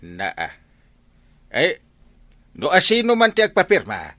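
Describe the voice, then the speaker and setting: A man's voice: high-pitched, huge pitch swings, slow, bright, forceful tone, no room sound, clean studio sound, exaggerated voice. Whiny, swooping lazy Ilocano councilman. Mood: whiny, evasive. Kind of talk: comedic Filipino radio drama acting.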